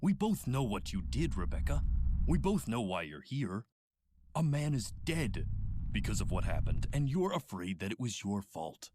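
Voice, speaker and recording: A man playing a manipulative, middle-aged doctor in a radio drama, with a measured, clinical and coaxing way of talking. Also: lowish voice, sing-song, background noise. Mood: insistent. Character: calm, manipulative, sinister male doctor running an institute.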